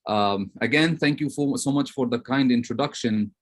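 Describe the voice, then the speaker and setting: A man's voice: medium pitch, moderate pace. A man from Lebanon giving a semi-formal online lecture as a professor.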